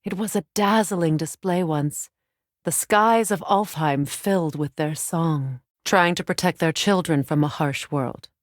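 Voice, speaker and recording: An actress playing a solemn, regal Norse goddess warrior in a video game, speaking in a measured, grave, formal manner. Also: female voice, deep voice, no room sound, studio quality. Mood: solemn, reflective. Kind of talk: dramatic video game voice acting.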